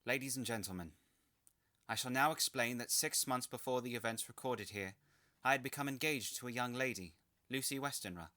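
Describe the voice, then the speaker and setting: A man's voice: mid-range voice, a little fast, sharp tone, lightly acted. A man playing a witty, wry young English lord in an audio drama, formal and playful. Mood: reflective, formal. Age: young adult. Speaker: actor and narrator in an audio drama. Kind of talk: scripted radio drama acting and narration.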